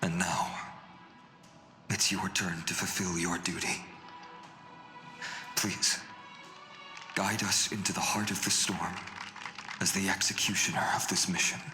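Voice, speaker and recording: An actor playing an earnest, noble young male warrior in a video game, with formal, measured, theatrical delivery. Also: low-pitched, level tone. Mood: solemn. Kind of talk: scripted video game dialogue. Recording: echoey hall reverb, music underneath.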